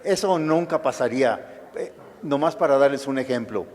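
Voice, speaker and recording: A man's voice: medium pitch, lively intonation, normal speed, a little mellow. Older Chicano man as historian answering questions, reflective, restarts phrases, reverberant hall. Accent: Chicano Spanish. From United States. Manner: thoughtful, with frequent restarts and fillers. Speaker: guest lecturer, historian. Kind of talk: lecture answering audience questions. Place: lecture hall. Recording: lecture hall with long reverb.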